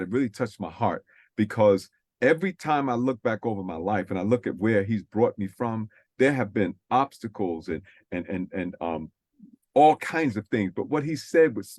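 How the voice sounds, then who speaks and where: A man's voice: mid-range voice, darkish tone, no room sound. A man from New City, hosting a radio faith talk, earnest and preacherly, repeating his phrases for emphasis. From New York City. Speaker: radio show host.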